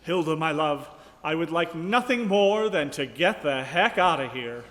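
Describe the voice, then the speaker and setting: A man plays a wry, eager young-hearted radio comedy character. His voice is slightly high with animated swings in pitch, and it echoes through a big hall. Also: unhurried, a little hiss or hum. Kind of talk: live radio comedy acting. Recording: echoey hall, long reverb.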